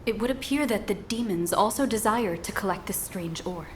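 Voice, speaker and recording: A woman's voice: medium pitch, normal speed, slight background noise, lightly acted. An actress playing a solemn warrior woman in a video game, earnest, with stiff formal diction. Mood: grave, formal.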